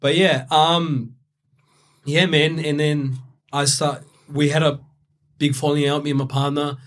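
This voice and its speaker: Laid-back young Brazilian-born man, fairly high voice. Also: level tone, measured pace, a bit bright. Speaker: interview guest. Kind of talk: candid storytelling.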